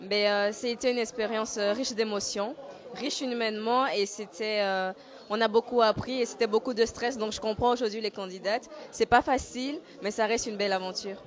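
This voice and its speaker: A woman who was a pageant runner-up, answering calmly with short sentences and some fillers. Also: a little high, normal speed. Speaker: former pageant runner-up, guest. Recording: muffled lo-fi event audio.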